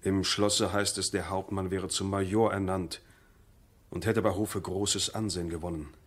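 Casting: loyal-sounding manservant, deep-pitched, careful, deferential, 1970s radio drama. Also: male voice, level tone. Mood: cautious. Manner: respectful, measured, hedging servant speech. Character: deferential, cautious manservant to a baron. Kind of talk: 1970s German radio play acting.

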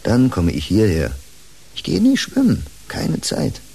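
An actor in a German radio play playing a weary, earnest psychiatrist with a husky voice. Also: male voice, mid-range voice, huge pitch swings, a little slow, mellow, full character voice. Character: weary, earnest male psychiatrist, sad and dutiful. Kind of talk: German radio drama acting.

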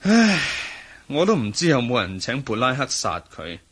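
An actor playing a sociable, sceptical agency chief in a Hong Kong Cantonese radio drama, talking in an emphatic, conversational way. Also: male voice, mid-range voice, huge pitch swings, moderate pace, a bit bright, slightly rough, exaggerated voice. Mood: concerned.

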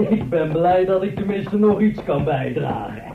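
An actor playing a surly, slow-witted town gatekeeper, blunt and stubborn, in a radio play. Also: male voice, high-pitched, lively intonation, vocal fry, loud music behind the voice, full character voice. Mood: gloating, amused. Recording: tinny, band-limited old radio sound.

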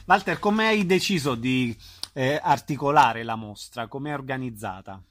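A man's voice: medium pitch, expressive, moderate pace, a bit bright, no room sound. A man conducting an interview, asking short informal questions.

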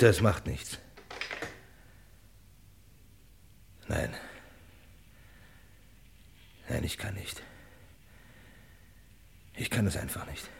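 An actor playing an agitated, indecisive ordinary man in a vintage German radio crime play, speaking haltingly. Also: deep voice, vocal fry, darkish tone, a little hiss or hum. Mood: despairing. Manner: hesitant, anguished, halting.

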